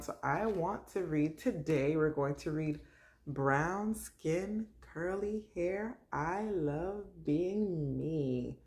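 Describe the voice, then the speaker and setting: A woman, around her thirties, speaking as the host of a children's story time, in an expressive storytelling manner. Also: low voice, slightly rough. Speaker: host of a children's read-aloud show. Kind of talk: children's story time, picture book read aloud.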